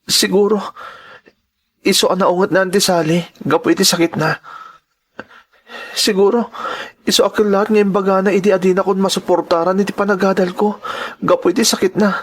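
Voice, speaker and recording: A man's voice: high voice, little pitch movement, brisk, pauses often. An actor with an Ilocano Filipino accent playing a distressed young male student searching for answers in a radio drama. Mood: troubled, pleading.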